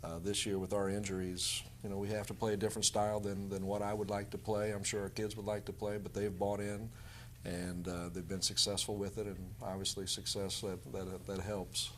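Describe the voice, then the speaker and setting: A man's voice: lowish voice, flat delivery, brisk, slight background noise. A man with an American accent speaking as a basketball coach, giving casual interview answers.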